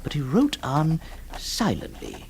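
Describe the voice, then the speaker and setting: Radio drama: a stammering, exasperated lawyer, pitch swinging wildly, faint hiss. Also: male voice, wildly swooping pitch, takes it slowly, creaky, darkish tone, full character voice. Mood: reflective. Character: flustered, hesitant older male lawyer. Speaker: narrator and lawyer employer.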